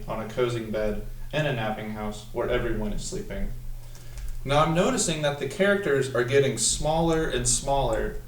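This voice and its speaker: A young man with a mid-pitched voice reads a picture book aloud as a student teacher would. He stretches the story lines deliberately and speaks instructively to young listeners. The sound is roomy, picked up from a few feet away in a small room. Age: young adult. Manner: deliberate, instructional, drawn-out when reading the text. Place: small room at a table, indoors. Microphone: camera mic a few feet away. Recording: roomy echo, distant microphone.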